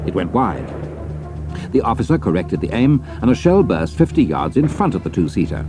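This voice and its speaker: Low, animated British male storyteller. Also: normal speed, music in the background. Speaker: narrator. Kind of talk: scripted dramatic storytelling narration.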